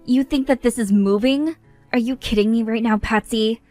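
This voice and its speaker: A woman's voice: fairly high pitch, normal speed, dark tone, dry recording, faint music behind, close to an everyday voice. A woman voicing an exasperated young bride who scolds others in an indignant tone.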